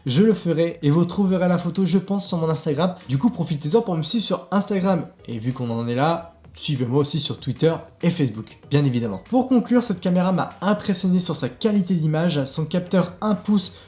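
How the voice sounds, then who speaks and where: A man's voice: fairly high pitch, fairly quick, few pauses, mellow, roomy sound. A man with a French accent hosting a casual, upbeat tech review vlog and chatting straight to his viewers. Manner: chatty, upbeat, laughs at himself. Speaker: tech reviewer, channel host. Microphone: clip-on mic.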